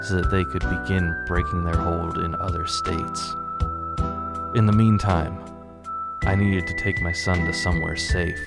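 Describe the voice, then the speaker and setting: A man playing a determined suburban father turned rebel leader, narrating an amateur radio drama in earnest, formal phrasing. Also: sits low. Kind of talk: scripted first-person radio drama narration. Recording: music under the voice.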